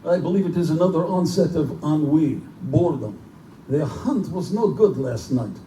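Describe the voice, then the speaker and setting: A man's voice: fairly high pitch, animated, moderate pace, warm tone, natural voice. A man playing a genteel, pompous general who hunts men for sport, in a live theatrical horror drama. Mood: urbane, bored. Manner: urbane, pompous, condescending. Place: live performance in a bookstore. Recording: distant, echoey live room recording with noise.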